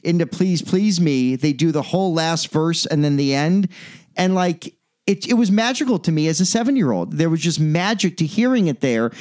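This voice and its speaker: A man's voice: on the high side, animated, moderate pace, a little mellow. A man co-hosting a podcast, chatting casually about music in a jokey, rambling way and often correcting himself. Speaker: podcast co-host.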